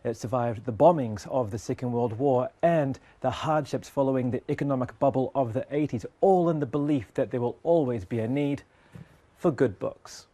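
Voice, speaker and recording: A man reporting as a television news correspondent, in measured broadcast delivery for pieces to camera and packaged stories. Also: medium pitch, dark tone, no room sound.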